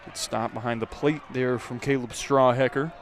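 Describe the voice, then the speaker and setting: A man's voice: mid-range voice, normal speed, some chatter behind. A man doing live play-by-play baseball commentary as a radio announcer. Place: outdoor ballpark. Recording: steady background noise at outdoor ballpark.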